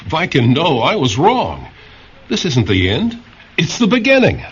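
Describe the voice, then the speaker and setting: A man's voice: fairly high pitch, huge pitch swings, measured pace, slight background noise. A man playing an earnest, insistent scientist in a vintage sci-fi radio drama, explaining things with dramatic emphasis. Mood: solemn insistence. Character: earnest male scientist who probes minds, urgent and insistent. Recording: dull vintage radio sound, music underneath.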